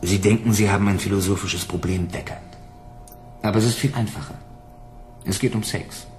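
A man's voice: on the low side, steady tone, fairly quick, soft background music, close to an everyday voice. A man playing a cynical, cold-blooded bounty hunter in a German radio drama, speaking in a dry, blunt, controlled way. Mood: dry, needling.

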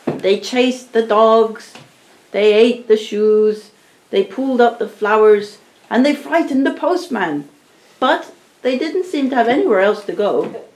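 A woman narrating a storybook tale live for a puppet show, in a deliberate, theatrical storytelling manner. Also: mid-range voice, darkish tone. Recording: roomy, distant amateur recording.

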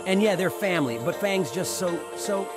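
A man's voice: high voice, moderate pace, over loud music, lightly acted. A man voicing an earnest, friendly teenage pterodactyl student in a video game, a little hesitant. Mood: troubled, earnest. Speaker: voice actor. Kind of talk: visual novel fan voice acting.

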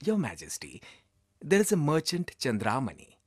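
Man as a lively, deferential matchmaker. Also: fairly high pitch, moderate pace, breathy edge, dry recording, clean studio sound, full character voice. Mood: eager, respectful. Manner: courtly, persuasive. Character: eager, deferential male royal matchmaker.

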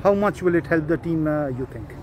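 High-voiced male interviewer. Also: lively intonation, brisk. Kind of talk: post-match interview questions. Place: outdoors at a football ground. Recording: outdoor crowd noise around.

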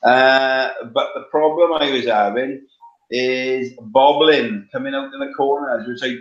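Middle-aged welsh guy on a webcam call from his couch, lots of ums, roomy dull sound. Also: mid-range voice. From Wales. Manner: halting, many ums and restarts. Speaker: guest, competition flyer. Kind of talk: casual video call chat. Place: home living room, on a couch. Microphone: webcam on a video call.

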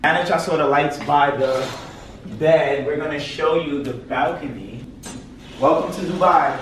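A man's voice: fairly high pitch, measured pace, fairly bright tone, slight background noise, rough recording. A man in his thirties joking around while co-hosting a casual hotel room tour. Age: 30s. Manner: playful, jokes with his partner. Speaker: travel vlogger co-host. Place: hotel suite and balcony.

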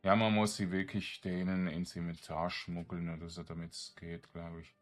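A man giving casual game commentary, thinking aloud in a halting mutter as he plays. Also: low-pitched, level tone, soft background music. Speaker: gaming streamer.

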